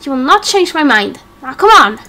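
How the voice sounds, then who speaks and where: A woman's voice: high voice, very animated, moderate pace, pushed and bright, exaggerated voice. A woman voicing a brash, cocky seven-year-old boy in a game roleplay, eager, teasing and boastful. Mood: cocky, insistent. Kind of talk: amateur game roleplay acting.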